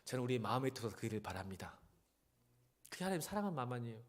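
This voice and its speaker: A male pastor delivers a church sermon in a very lively, widely swinging voice. He talks directly to his listeners in a conversational way, urging them on and putting rhetorical questions to them. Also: medium pitch, rapid-fire. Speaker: senior pastor. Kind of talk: church sermon with Bible reading.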